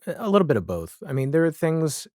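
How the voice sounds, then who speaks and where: A man speaking as a podcast guest in a casual, reflective conversation, with halting, thoughtful phrasing. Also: a little high, big pitch swings, darkish tone, no room sound, clean studio sound.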